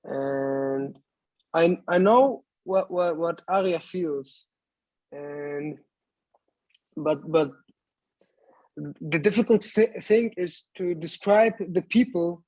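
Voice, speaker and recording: A man in his twenties from Lebanon, an actor speaking in a panel conversation in halting English, often repeating words as he searches for them. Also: fairly high pitch, darkish tone, no room sound, rough recording. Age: 20s.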